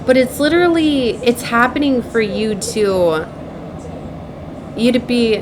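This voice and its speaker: A woman giving an improvised spiritual message as a tarot reader, speaking in a halting, repetitive, earnest and cautioning way. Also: on the high side, animated, noisy background. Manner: halting, repeats herself, circles back.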